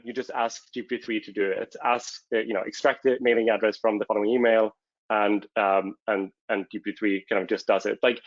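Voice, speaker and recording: A man's voice: medium pitch, no room sound, lo-fi sound. A man whose first language is Swedish, presenting a technical talk at a conference and hesitating often with fillers and restarts.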